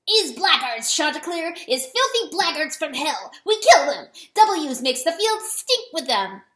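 A woman's voice: high-pitched, big pitch swings, measured pace, pushed and bright, echoey room, voice pushed up, exaggerated voice. A woman voicing a small, fierce male weasel warrior in an animal storybook, crying out in bursts of broken rustic grammar. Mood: boisterous, urgent.

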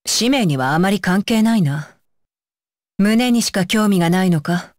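A woman's voice: mid-range voice, expressive, a little slow, no echo, clean studio sound, natural voice. A woman voicing a stern, dutiful young female ninja bodyguard for an anime game, with clipped, commanding and blunt phrasing. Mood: flustered, guarded, then disgusted, stern. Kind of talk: Japanese anime game voice lines.

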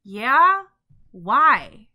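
A woman's voice: mid-range voice, pushed and bright. A woman voicing a snooty, confrontational young female rival in a cartoon. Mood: menacing. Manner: confrontational, deliberate threats. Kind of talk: animated cartoon voice acting.

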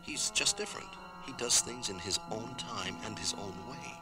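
An actor playing a gentle, reassuring father in an audio drama. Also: on the low side, moderate pace, music in the background, natural voice. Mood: tender, reassuring.

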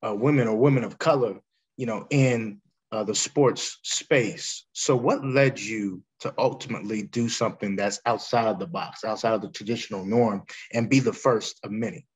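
American male interviewer, middle-aged, husky tone, courteous and respectful, on a video call. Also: lowish voice, moderate pace. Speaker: interviewer, host. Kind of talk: sports interview.

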